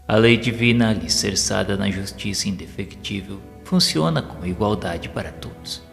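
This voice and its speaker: Low male voice doing solemn audiobook narration, formal n measured, big reverb, music under it. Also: creaky, a bit bright. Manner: measured, formal, sermon-like oratory. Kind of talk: solemn scripted narration of a spiritist audio novel.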